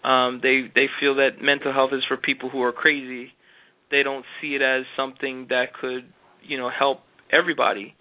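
A man's voice: mid-range voice, flat delivery, normal speed. A man answering interview questions earnestly, with frequent fillers and restarts. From Jamaica. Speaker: interview guest. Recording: tinny phone-like sound.

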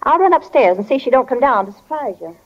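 An actress playing a firm, fussy small-town mother in 1940s radio drama, with a brisk, motherly, folksy manner. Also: high-pitched, big pitch swings. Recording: dull, hissy, reverberant 1940 radio recording.

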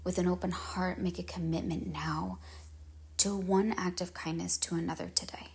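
A woman leading a guided meditation in measured, solemn phrases with pauses, her husky voice trailing into breathiness. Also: on the low side, little pitch movement, darkish tone.

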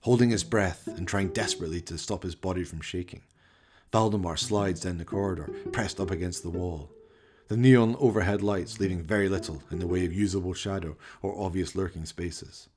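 A man's voice: low-pitched, a little fast. A man narrating a scripted radio play in an arch, florid, dramatic storytelling manner.

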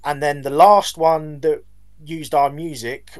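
A man, a band's lead singer, answering interview questions in a measured, polite way with some hesitations. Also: a little high, fairly even intonation. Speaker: band lead singer, guest.

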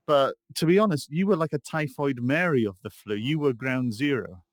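A man's voice: medium pitch, normal speed, dry recording. A man with a mid-range voice co-hosting a gaming podcast. He fills his talk with ums and uhs and laughs often. The recording is dry and close. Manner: lots of um and uh, laughs easily. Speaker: podcast co-host. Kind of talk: casual chat.

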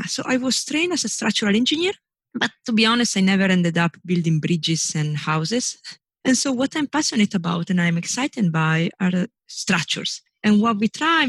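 A woman's voice: mid-range voice, a bit bright, no room sound. A middle-aged woman from Italy with an Italian accent, an engineering professor answering interview questions in a loose, searching way with many "you know"s and restarts.

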